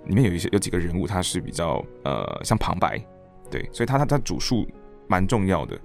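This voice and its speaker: A man's voice: low-pitched, wildly swooping pitch, fairly quick, gravelly, darkish tone, faint music behind. A man with a Taiwanese Mandarin accent, talking earnestly and reflectively as a director and actor being interviewed. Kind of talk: reflective casual interview chat.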